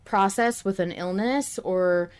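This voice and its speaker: A woman hosting a casual fan discussion podcast, speaking in an emphatic, passionate and halting way. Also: medium pitch, darkish tone, smooth. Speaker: podcast host.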